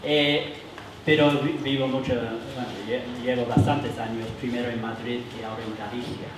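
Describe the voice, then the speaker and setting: A man with a foreign accent, not a native speaker, leading a church workshop for adults, halting with many fillers and restarts. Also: medium pitch, flat delivery. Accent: foreign accent in Spanish. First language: not Spanish. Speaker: workshop leader. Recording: roomy hall, mic from far, noisy.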